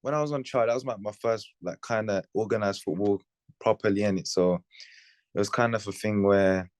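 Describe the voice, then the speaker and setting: A man's voice: lowish voice, steady tone, normal speed, a little mellow, dry recording. A man, chatting casually in a laid-back, slangy way in an interview. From Birmingham, England. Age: late teens. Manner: laid-back, slangy, with tags like innit and you know what I mean. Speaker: footballer being interviewed.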